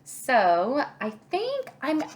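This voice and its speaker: A woman's voice: high-pitched, huge pitch swings, very slow, a little hiss or hum. A woman teaching young children a craft, talking through each step and thinking aloud in an encouraging way. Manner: encouraging, thinking aloud, asks the listener questions. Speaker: kindergarten teacher.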